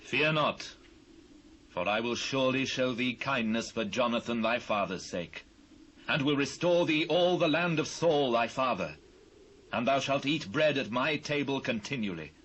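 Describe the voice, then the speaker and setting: An actor with a British accent playing a devout, gracious ancient king in a solemn, measured dramatised scripture reading. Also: medium pitch, animated, fairly bright tone, highs cut off. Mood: gracious, commanding. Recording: noisy old cassette transfer.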